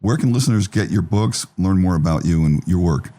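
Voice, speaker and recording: Low, mellow male radio host. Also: expressive, brisk. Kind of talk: interview hosting.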